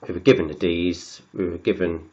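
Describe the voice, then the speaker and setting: A very low-pitched man with a cold, his voice croaky and sniffly as he talks his way through equations. He stops and repeats words while he writes, thinking aloud over a dull, lo-fi recording. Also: fairly even intonation, moderate pace, a little mellow. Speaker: maths tutor. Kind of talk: working through textbook maths aloud.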